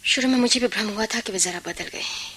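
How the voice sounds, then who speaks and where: A young breadwinner in a radio drama, embittered and dutiful. Her voice is sharp and bright with a husky grain, and she speaks earnestly, with open dramatic feeling. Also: a little high, quick pace. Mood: bitter. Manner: earnest, emotional, dramatic delivery. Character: embittered, dutiful young working woman supporting her family. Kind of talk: Hindi radio play acting.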